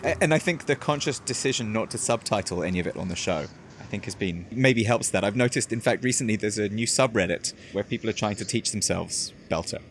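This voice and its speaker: A man's voice: lowish voice, brisk, a little hiss or hum. A man working as an interviewer, putting questions in a conversational style.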